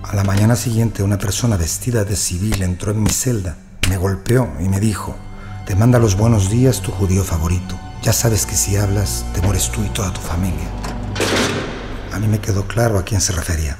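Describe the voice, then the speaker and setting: A man's voice: low voice, fairly quick, lightly acted. An actor in an audio drama playing a wronged male prisoner who recounts his ordeal as sober testimony. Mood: pained. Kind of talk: first-person audio drama narration. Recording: music bed behind the voice.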